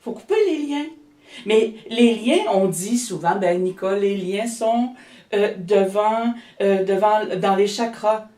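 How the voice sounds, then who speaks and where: A woman's voice: medium pitch, unhurried, mellow, distant mic. Québécois woman rambling healer in echoey room. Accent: Québécois French. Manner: rambling, many restarts and fillers. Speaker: energy healer guest. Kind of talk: casual interview.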